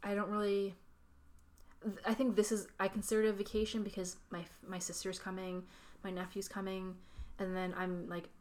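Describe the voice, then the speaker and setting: A woman's voice: medium pitch, little pitch movement, fairly quick, darkish tone. A woman who runs a small business, chatting as a podcast guest, talking hesitantly with many fillers. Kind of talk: casual chat.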